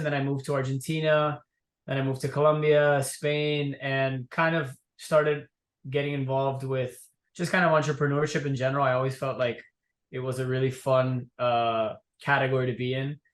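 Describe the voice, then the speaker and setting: A young man from Miami, a guest entrepreneur, telling his story in a relaxed, easygoing way. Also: mid-range voice, roomy sound. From Miami, USA. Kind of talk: casual interview.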